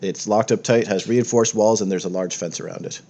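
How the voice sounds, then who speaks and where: A man's voice: on the low side, fairly even intonation, fairly quick, warm tone. A man working as game master, narrating a tabletop game in a casual, improvised way.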